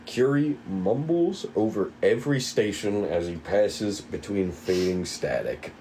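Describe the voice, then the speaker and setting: A man's voice: fairly low pitch, expressive, a little slow, dark tone, a little hiss or hum, rough recording. A man reading stage directions aloud as the narrator of a table read.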